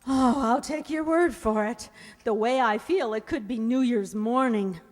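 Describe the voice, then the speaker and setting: A woman's voice: a little high, a little slow, lightly acted. A woman playing a wisecracking, weary young working woman in old-time radio comedy, wry and exclamatory. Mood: shy, delighted. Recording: echoey hall, audible background noise.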